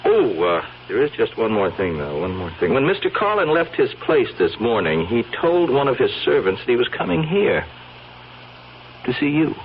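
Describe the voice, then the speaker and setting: An actor with an American accent playing a folksy, slyly probing police sergeant in a radio drama, asking questions in a hesitant, seemingly casual way. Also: male voice, mid-range voice, very animated, normal speed, lightly acted. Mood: casually probing. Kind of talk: old-time radio drama acting. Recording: dull, noisy old radio recording.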